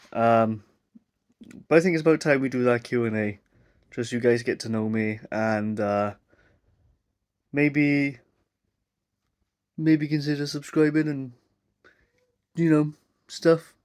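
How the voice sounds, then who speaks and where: Young Welsh guy, twenties, mid-pitched, chatty home-vlog rambler. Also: slow, long pauses. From South Wales. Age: 20s. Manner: rambling, lots of fillers and asides. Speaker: vlogger. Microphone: mic facing camera.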